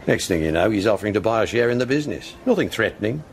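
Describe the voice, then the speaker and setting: A man's voice: lowish voice, very animated, racing, a little hiss or hum. A man acting in a radio drama as a world-weary, proud older club owner, speaking in wry, clipped fragments. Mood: regretful, resigned.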